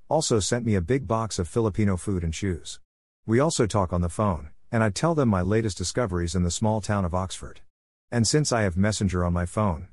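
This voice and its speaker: A man reads a personal essay as scripted narration. His voice sits on the low side, and the sound is dry, with very little room in it. He works as the narrator of an opinion column. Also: darkish tone, dry recording.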